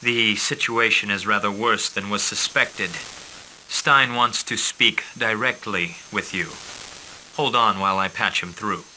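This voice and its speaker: A calm, businesslike intelligence handler briefing a commander in a video game. His tone is very bright and crisp, and every order comes out formal and exact. A faint hiss sits under the recording. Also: lowish voice, a little slow, low-quality recording. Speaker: mission briefing officer.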